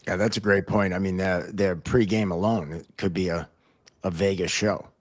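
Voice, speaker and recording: A man's voice: sits low, steady tone, moderate pace. A man hosting a podcast, interviewing a guest in a casual conversational style. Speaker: podcast host.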